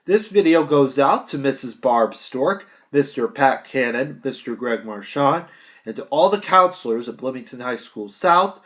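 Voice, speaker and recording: A man's voice: mid-range voice, lively intonation, moderate pace, fairly bright tone. A young American man delivering a prepared graduation speech in an earnest, declamatory manner, with emphatic short phrases. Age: young adult. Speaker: graduating high school student giving a speech.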